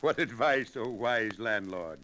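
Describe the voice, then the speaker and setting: Hearty, bright-voiced commanding nobleman. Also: unhurried. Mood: jovial, hearty. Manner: booming, theatrical, archaic speech. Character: hearty, commanding nobleman on a hunt, disguised as abbot. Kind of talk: 1940s radio adventure acting. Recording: noisy 1940s radio recording with clicks.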